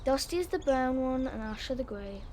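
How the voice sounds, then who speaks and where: A woman's voice: sits high, lively intonation, moderate pace, a little mellow, slight background noise. A woman playing a chatty, dreamy, curious schoolgirl in a children's audio drama, with outdoor park sounds and birds behind her. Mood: friendly, curious. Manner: chatty, earnest.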